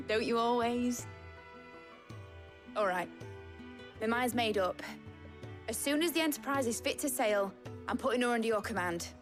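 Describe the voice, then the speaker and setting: A woman's voice: on the high side, unhurried, long pauses, faint music behind, lightly acted. An actress playing an earnest, hopeful young female engineer with a British accent, speaking informally and from the heart in a video game drama. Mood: resolved, fond.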